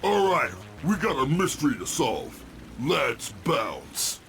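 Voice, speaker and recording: Smug, unhurried young boy. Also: low-pitched, huge pitch swings, vocal fry, a little hiss or hum, full character voice. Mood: flippant. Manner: flippant, cocky. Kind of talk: cartoon game voice acting.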